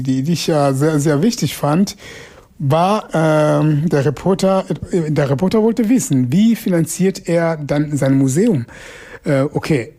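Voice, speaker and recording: A man, a Makonde speaker, talking through an interview in a halting way with many fillers and restarts. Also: fairly high pitch, mellow. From Mozambique. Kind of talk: casual spoken commentary on an interview.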